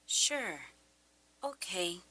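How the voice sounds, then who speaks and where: A woman playing a troubled, defensive schoolgirl in a radio drama, with a sullen, halting, emotional delivery. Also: mid-range voice, expressive, pushed and bright, breathy edge, exaggerated voice. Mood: defensive, annoyed. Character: troubled, defensive schoolgirl daughter afraid for her sister.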